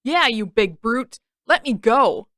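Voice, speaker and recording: A woman voicing a brash, exasperated teenage boy in an audiobook story, complaining in indignant, loud yelps. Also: a little high, lively intonation, takes it slowly, no echo, clean studio sound, voice pushed up. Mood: exasperated, alarmed. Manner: indignant, yelping, shouted delivery.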